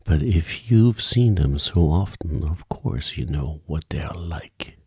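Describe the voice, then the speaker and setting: Storybook turtle, read by a man: languid, melancholy, slow and husky. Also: sits low, lively intonation, a little mellow, no echo, clean studio sound, heavily acted.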